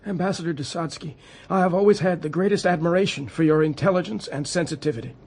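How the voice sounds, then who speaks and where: An actor playing a polite, anxious American president in a radio play, with an American accent and a formal, placating, careful manner. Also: male voice, fairly high pitch, fast talker, darkish tone, husky. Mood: earnest, pleading.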